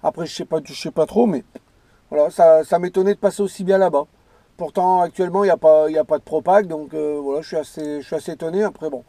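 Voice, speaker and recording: A man's voice: fairly high pitch, fast, warm tone, dry recording. A man chatting casually as an amateur radio operator, rambling and repeating his phrases. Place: in or beside a parked car outdoors.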